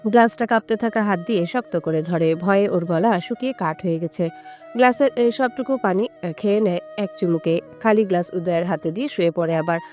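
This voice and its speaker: A woman's voice: lowish voice, brisk, a little mellow. A woman reading a scripted romantic story as an audio story narrator. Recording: music under the voice. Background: background music.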